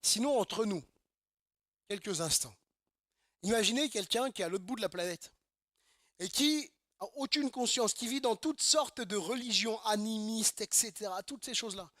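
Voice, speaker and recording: A man from Normandy delivers a sermon in an emphatic, repetitive style full of rhetorical questions. His voice sits very high and sounds bright and forceful. The sound is dry and close, with almost no room echo. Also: moderate pace. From Normandy, France. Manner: emphatic, repeats phrases, asks rhetorical questions. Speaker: pastor. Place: church service.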